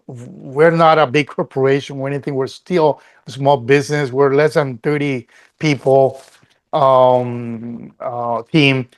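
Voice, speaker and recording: Middle-aged guy from colombia, earnest, keeps restarting, ceo being interviewed. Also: medium pitch. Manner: earnest, restarts often, repeats words. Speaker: company CEO, guest. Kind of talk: conversational interview answers.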